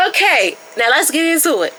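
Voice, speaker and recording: Young woman, solo podcast host, casual and chatty, slightly congested, crisp bright tone. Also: high-pitched, rough recording. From Baltimore area. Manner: chatty, loose and informal, addresses listeners as y'all. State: stuffed up from a starting cold.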